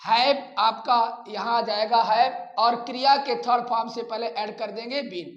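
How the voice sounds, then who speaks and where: A male teacher speaks in an echoey room at a very unhurried pace. He walks through grammar formulas and examples step by step, repeating pieces deliberately as he builds each one up. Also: very high-pitched, lo-fi sound. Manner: deliberate, repetitive, step-by-step explaining.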